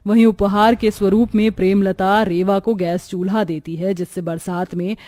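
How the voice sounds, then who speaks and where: A woman's voice: medium pitch, steady tone, moderate pace, warm tone, no room sound, studio quality. A woman storyteller delivering scripted narration for a moral tale.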